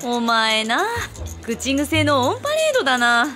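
A woman's voice: high voice, huge pitch swings, normal speed. A woman playing a blunt, teasing, tomboyish big-sister type in a comic radio drama, with casual masculine phrasing. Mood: mock scolding. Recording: music behind the voice.

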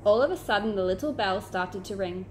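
Young woman, storybook reader, medium pitch, home camera sound with some background noise. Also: expressive, fairly quick. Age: young adult. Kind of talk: reading a children's novel aloud. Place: small indoor room at home.

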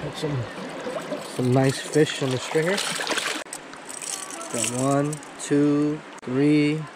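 A man's voice: fairly high pitch, little pitch movement. A young man chatting casually to his viewers in a laid-back, slangy way, like an outdoor vlog host, recorded outside on an action camera. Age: young adult. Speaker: vlog host, hobby angler. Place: outdoors on a rocky riverbank. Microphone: action camera at arm's length or body-held. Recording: clearly noisy outdoor recording, rushing river. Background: rushing stream water.

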